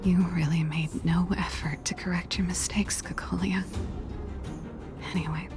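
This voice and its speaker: An actress playing a world-weary, laid-back woman in a video game, casual and understated with quiet resolve. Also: fairly low pitch, flat delivery, normal speed, close to an everyday voice. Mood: weary, resolute. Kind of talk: video game character dialogue. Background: game music.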